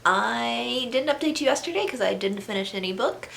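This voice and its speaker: A young woman vlogging about books in a relaxed, chatty way, her voice stuffy and congested. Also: fairly high pitch, vocal fry, a little hiss or hum. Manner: chatty, unhurried. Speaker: book vlogger. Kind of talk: casual vlog chat. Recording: echoey room.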